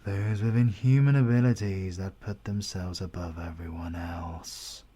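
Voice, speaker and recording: Man playing villain interrogator, British accent, menacing yet polite, unhurried, low-pitched, deliberate formal speech, hushed whispers, audio roleplay. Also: steady tone, a little hiss or hum. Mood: cynical musing. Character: menacing, coldly courteous male villain interrogator. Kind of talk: ASMR audio roleplay acting.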